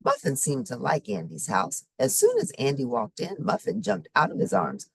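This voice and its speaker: A woman reading a children's story expressively, with simple, deliberate phrasing for young listeners. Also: low voice, wildly swooping pitch, vocal fry, a little mellow, husky, dry recording. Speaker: storybook reader.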